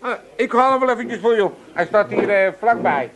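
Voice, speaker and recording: A man's voice: sits high, lively intonation, a little fast, a bit bright, low-quality recording. An actor playing a crafty, haggling old father with an Amsterdam accent in a radio comedy. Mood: wily haggling. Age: older. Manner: wily, haggling, feigning innocence.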